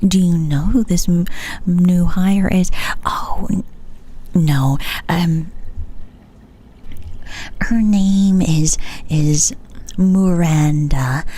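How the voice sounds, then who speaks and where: Slow whispering woman playing a call agent. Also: fairly low pitch, mellow. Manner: whispering, playful, punning. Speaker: customer service agent in a roleplay. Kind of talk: whispered ASMR roleplay.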